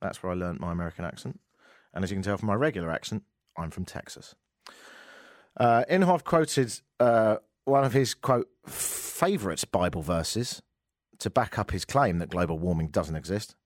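A man's voice: deep voice, lively intonation, normal speed, no echo, clean studio sound. A man hosting a radio show, delivering a mocking, exasperated comic rant.

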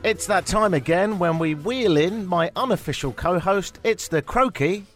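A man hosting a talk radio show, sardonic and animated, joking and ranting about the news. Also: sits high, normal speed, faint music behind. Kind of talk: opinionated talk radio chat. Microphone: studio microphone.

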